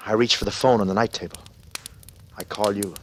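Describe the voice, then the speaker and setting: An actor playing a brooding, guilty and slightly unhinged man in a halting, dramatic 1940s radio style. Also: fairly low pitch, fairly even intonation, close to an everyday voice. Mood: haunted, grieving. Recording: noisy old radio recording.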